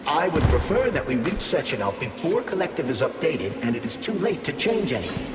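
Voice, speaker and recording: A man playing a scheming old scientist who is now a talking blob of polymer in a video game, sly, measured and theatrical. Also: a little high, very animated, music in the background. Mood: grimly urging.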